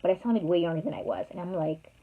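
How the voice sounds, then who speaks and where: A young woman recounts a story in a loose, casual way, circling back and padding her sentences with fillers. Her voice sits fairly low. The sound is thin and tinny, like a phone line. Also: fast talker. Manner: rambling, chatty, full of "like" fillers. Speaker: vlogger.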